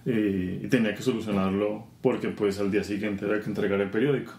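Low gravelly Colombian man, roomy sound. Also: quick pace. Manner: relaxed, many fillers and repeated words. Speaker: guest, software tester. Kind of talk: casual interview. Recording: roomy conference venue.

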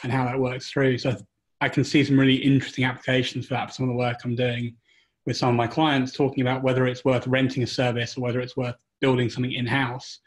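A man's voice: medium pitch, little pitch movement, quick pace. A man, a returning co-host, explaining recent work in a casual way, with fillers. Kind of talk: casual discussion.

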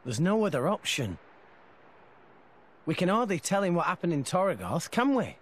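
A man's voice: high-pitched, very animated, normal speed, a little hiss or hum. A man playing an earnest, upbeat teenage boy adventurer in a video game. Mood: thoughtful. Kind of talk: video game character acting. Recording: loud game score behind voice. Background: loud music.

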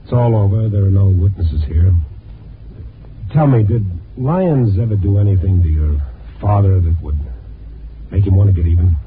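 A man's voice: low voice, moderate pace, slight background noise, close to an everyday voice. A man acting a world-weary radio noir reporter, tinny. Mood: gentle probing. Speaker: narrator and lead actor. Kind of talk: 1950s radio noir acting, first-person narration. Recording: tinny band-limited old radio recording.

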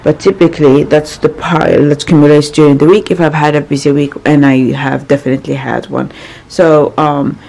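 A woman in her thirties, croaky from little sleep, chatting casually to a handheld camera in her small apartment, rambling with many fillers. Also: low-pitched, fairly even intonation, fairly quick, darkish tone, poor audio. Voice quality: gravelly. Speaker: vlogger. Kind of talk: casual vlog talk to camera. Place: small apartment bedroom, indoors. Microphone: handheld camera at arm's length.

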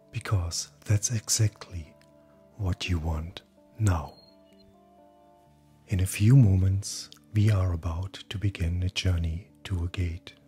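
A man speaking as a hypnotherapist, guiding sleep hypnosis in a calm, gentle, breathy voice that often nears a whisper. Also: low voice. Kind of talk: guided hypnosis, soothing scripted narration. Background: soft background music.

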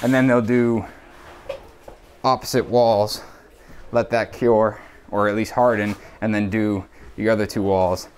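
A man walking through a renovation site with a head-mounted camera, casually explaining the construction details he points out. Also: fairly low pitch. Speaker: builder giving a site tour. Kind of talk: walkthrough explanation on a job site. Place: indoor renovation site, bare rooms. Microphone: head-mounted action camera.

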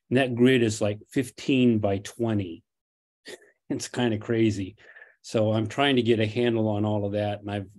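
An older man introducing himself on a video call, halting with frequent fillers. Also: on the low side, darkish tone. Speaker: co-op member.

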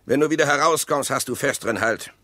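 An actor in a German radio play voicing an evasive, mistrustful husband with dry sarcasm and a clipped, deliberate delivery. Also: male voice, mid-range voice, pushed and bright, natural voice. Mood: insistent.

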